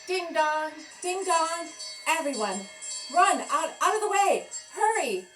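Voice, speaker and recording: A woman's voice: very high-pitched, expressive, slow pace, a bit bright, roomy sound, recorded from a distance, faint music behind, voice pushed up, full character voice. A woman voicing alarmed storybook firemen and sailors, calling out urgently in repeated exclamations. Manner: urgent, exclaiming, repetitive calls.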